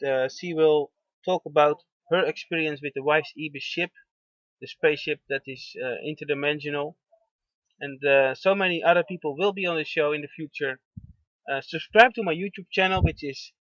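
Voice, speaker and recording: A man hosting an internet radio show, gentle and affirming, pausing often with fillers. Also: a little high, no room sound. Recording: dull lo-fi dry sound.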